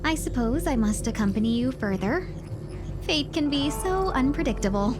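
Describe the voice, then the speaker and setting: A woman playing a polite, silver-tongued merchant guide in a video game, speaking in formal, measured phrases. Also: high-pitched, animated. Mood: gently wry. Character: courteous, silver-tongued young female merchant envoy and guide. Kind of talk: video game cutscene acting. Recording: music behind the voice. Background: music.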